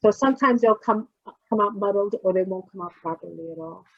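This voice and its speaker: Woman, New Jersey accent, relaxed workshop host on a dry, dull computer mic. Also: medium pitch, a little fast, vocal fry, no echo. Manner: relaxed, chatty, often trails off while fumbling with screen sharing. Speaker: poet leading a workshop. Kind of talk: casual workshop talk on a video call. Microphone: computer mic on a video call. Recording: dull, lo-fi video call audio.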